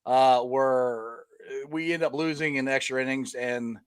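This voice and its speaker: A middle-aged man hosting a casual sports chat, telling stories in a rambling, animated way with many restarts and laughs. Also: medium pitch, measured pace, smooth, no echo. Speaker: podcast host.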